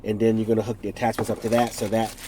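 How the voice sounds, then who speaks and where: A man's voice: on the low side, monotone, very fast, dark tone, a little hiss or hum, rough recording. A man in his forties from the United States with a stuffed-up nose from a cold, casually reviewing a product in a rambling, self-correcting way with jokey asides. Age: 40s.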